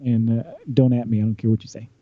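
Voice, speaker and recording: A man from Austin, Texas, in casual sports chat, halting and repeating words as he builds a point. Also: medium pitch, flat delivery, no echo. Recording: dull, dry lo-fi audio.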